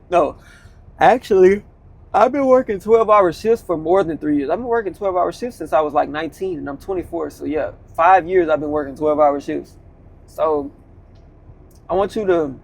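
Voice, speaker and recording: A man's voice: a little high, creaky. A man in his twenties, a truck driver, giving a loose, slangy motivational talk from inside his truck cab. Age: 20s. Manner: loose, conversational, slangy, repeats himself.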